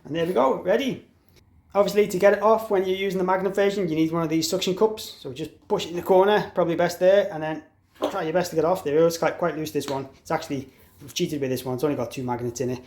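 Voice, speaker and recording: A man with a slightly higher-than-average voice walks through a hands-on installation tutorial. He explains in an informal, practical way, like an installer showing a product. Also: fairly even intonation, fast, darkish tone, a little hiss or hum. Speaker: installer demonstrating an intercom product.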